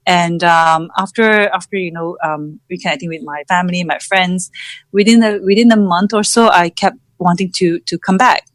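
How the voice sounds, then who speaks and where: Halting middle-aged woman, low and dry. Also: steady tone, dry recording. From Malaysia. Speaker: podcast guest, founder and coach. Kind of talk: reflective personal interview talk.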